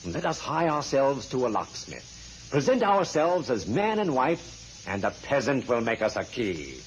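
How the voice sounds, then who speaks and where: An actor playing a pompous, flamboyant sidekick with florid, theatrical diction in a 1940s radio play. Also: male voice, mid-range voice, wildly swooping pitch, unhurried. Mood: grandly scheming. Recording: dull, hissy 1940s radio recording.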